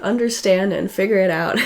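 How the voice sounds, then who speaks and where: A woman with a raspy, hoarse voice, feeling unwell, casually explaining a home recipe step by step. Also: mid-range voice, moderate pace, darkish tone. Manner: chatty, loose, self-correcting. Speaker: guest co-presenter.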